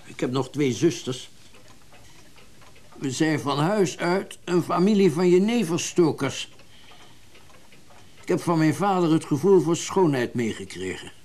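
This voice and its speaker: An actor playing a wealthy, well-bred middle-aged collector in a radio drama, speaking in a genteel, reflective way with hesitant pauses. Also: male voice, on the high side, animated, a little mellow. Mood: reminiscing. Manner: genteel, reflective, hesitant pauses, old-fashioned turns of phrase. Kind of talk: naturalistic radio drama dialogue.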